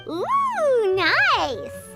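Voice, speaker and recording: A woman voicing a tiny, excitable female floating companion who loves snacks and talks about herself in the third person. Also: very high voice, drawn-out words, loud music behind the voice, heavily acted. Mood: excited. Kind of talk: game cutscene voice acting.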